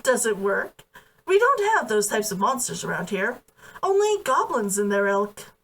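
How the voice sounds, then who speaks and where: A woman's voice: medium pitch, big pitch swings, unhurried, a bit bright, heavily acted. A woman voicing a curious, naive noblewoman in a fantasy storybook reading, with an inquisitive manner. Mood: curious, skeptical. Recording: voice distant from the mic.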